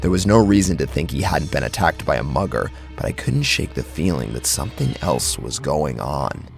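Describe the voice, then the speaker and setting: A man's voice: extremely low pitch, sing-song, moderate pace, creaky. A man narrating a scripted first-person horror story dramatically, close on the microphone. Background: background music.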